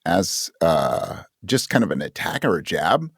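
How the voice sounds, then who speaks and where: A man's voice: sits low, big pitch swings, unhurried, gravelly, slightly rough, dry recording, studio quality. A man talking as a guest in a casual interview, reflective and self-deprecating. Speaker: podcast guest, actor and audio drama writer.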